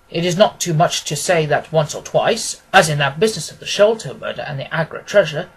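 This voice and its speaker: Man putting on a pompous, husky police agent. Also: on the high side, rough recording. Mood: lofty. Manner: pompous, consequential. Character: self-important male police agent, blustering and lofty.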